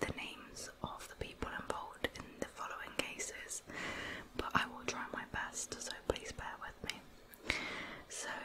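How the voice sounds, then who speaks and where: Woman, hushed breathy whisper, ASMR true crime narration, soft and deliberate storytelling. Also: poor audio.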